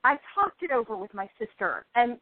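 A woman's voice: a little high, big pitch swings, brisk, no room sound. A woman acting a stubborn, frustrated thirty-year-old struggling actress in an amateur radio play, emphatic and theatrical. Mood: defiant. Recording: tinny phone-line internet radio audio.

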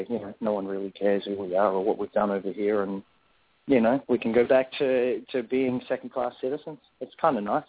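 A man's voice: fairly low pitch, no room sound. Man talking over a tinny phone line. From born in South Africa, raised in Perth, Australia. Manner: rambling, many restarts and fillers. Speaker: band musician, interview guest. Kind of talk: casual interview answers.

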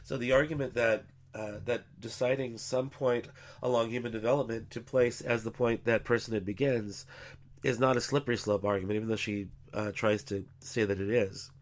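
A man hosting a podcast, congested from a cold, explaining arguments in a measured way with frequent fillers. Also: medium pitch, steady tone. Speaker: podcast host. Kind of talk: scripted-sounding podcast commentary.

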